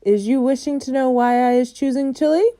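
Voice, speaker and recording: A woman's voice: high voice, a little fast, dark tone, pitched above the performer's own voice, lightly acted. A woman voicing a greedy, bullying male giant who bellows in coarse, broken grammar. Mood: roaring, raging.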